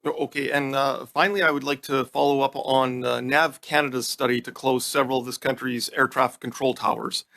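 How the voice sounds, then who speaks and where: A man's voice: medium pitch, flat delivery, fairly quick, creaky, hardly any pauses, bright, forceful tone. A man questioning officials as a committee member, polite and direct, in a formal, partly prepared style.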